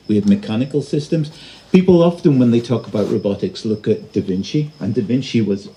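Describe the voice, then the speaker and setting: A man giving a public lecture as an invited speaker, presenting slides in a halting, pausing delivery. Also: medium pitch, dark tone. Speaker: invited conference speaker, robotics researcher. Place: live talk at a festival venue. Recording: noisy live event recording.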